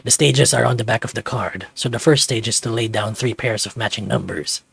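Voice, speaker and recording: A man's voice: fairly low pitch, a little fast, creaky, a bit bright, slightly rough, heavily acted. A man playing a young adult adopted son, a gamer who needles with sarcastic banter in a visual novel. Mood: explaining, casual.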